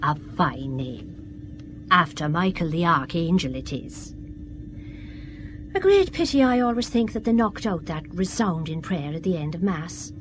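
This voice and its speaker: An actress playing a garrulous, devout middle-aged Irish widow from the country in a radio drama, folksy and inquisitive. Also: female voice, lowish voice, big pitch swings, a little slow, noisy background. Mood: folksy, friendly. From west of Ireland.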